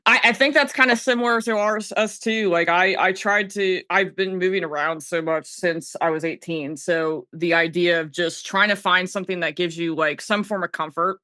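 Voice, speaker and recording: A woman's voice: on the low side, normal speed, hardly any pauses, pushed and bright, dry recording. A woman from South Jersey chatting casually as a podcast guest, informal and full of filler slang. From South Jersey, United States. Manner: informal, peppered with "like" and "dude". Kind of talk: casual chat.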